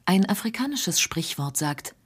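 A woman narrating a radio feature in a measured, precise scripted delivery. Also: mid-range voice, big pitch swings, husky.

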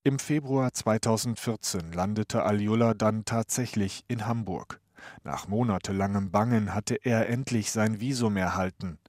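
Male news reporter, low and dry, measured scripted read. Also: a little mellow, no echo. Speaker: radio reporter.

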